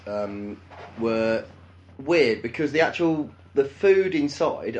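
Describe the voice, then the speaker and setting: A man in his thirties from the English Midlands, co-hosting a comedy podcast with crude, deadpan rants. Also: medium pitch. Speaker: podcast co-host. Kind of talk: casual comedic chat.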